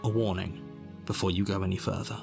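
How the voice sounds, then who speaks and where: A man's voice: deep voice, fairly even intonation, a little fast, creaky, a little mellow, faint music behind. A male actor playing a stern, ominous narrator of a horror game, telling the story formally and insistently to the listener. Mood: stern, measured. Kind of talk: scripted second-person horror narration.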